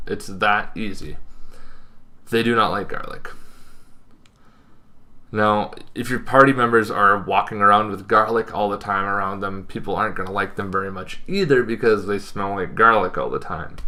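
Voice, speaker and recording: Young man, bright forceful tone, fairly low pitch, casual explainer, emphatic pauses. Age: young adult. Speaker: tabletop gaming video host. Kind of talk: casual explainer talk to camera. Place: small bedroom, indoors.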